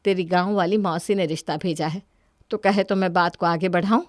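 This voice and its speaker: A woman voicing an ageing, anxious mother in a cartoon story, gently persistent and pleading. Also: lowish voice, steady tone, brisk, no room sound, studio quality, natural voice. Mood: worried, coaxing. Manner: coaxing, pleading.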